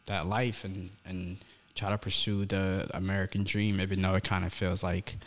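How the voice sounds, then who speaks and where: A man's voice: deep voice, little pitch movement, moderate pace. A young man from Somalia chatting casually as a podcast co-host, earnest and philosophical, often repeating words as he searches for the next one. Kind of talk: casual reflective conversation. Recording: echoey hall-like reverb.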